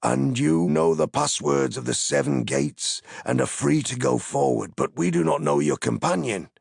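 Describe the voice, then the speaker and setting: A man's voice: medium pitch, normal speed, gravelly, a little mellow, husky, no echo, studio quality, pitched above the performer's own voice. A man voicing a wary, stern leader of city guardsmen, formal and challenging in archaic phrases.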